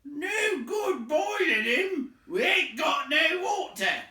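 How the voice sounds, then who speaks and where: Shrill, bellowing troll. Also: male voice, big pitch swings, takes it slowly, pushed and bright, reverberant, shouting, distant mic, heavily acted. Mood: loud, complaining. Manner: shrill, bellowing, indignant, colloquial speech. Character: loud, quarrelsome, rustic male troll named William.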